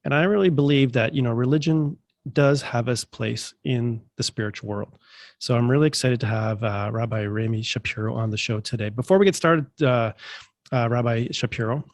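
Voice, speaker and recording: A man's voice: mid-range voice, moderate pace, no echo. Male podcast host, congested, upbeat and chatty, dry close recording. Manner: enthusiastic, chatty with many fillers. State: congested from allergies. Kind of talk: casual interview.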